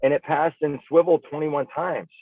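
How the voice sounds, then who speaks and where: A man's voice: a little high, fairly even intonation, brisk, no echo. A man speaking as an interview guest, persuasive and emphatic, firing off punchy rhetorical questions over tinny, phone-like sound. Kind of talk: persuasive pitch in casual interview.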